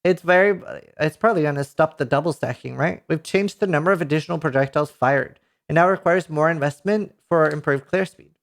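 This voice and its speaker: A man in his thirties with a Norwegian accent reads patch notes aloud to a live chat, reacting with excited outbursts and casual swearing, into a headset mic. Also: fairly high pitch, fairly quick, gravelly, hardly any pauses. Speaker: streamer. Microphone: headset mic at a desk.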